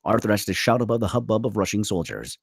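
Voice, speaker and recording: A man's voice: fairly low pitch, animated, fast talker, vocal fry, breathy edge, no echo, clean studio sound. A man reading screenplay stage directions as the narrator of a table read.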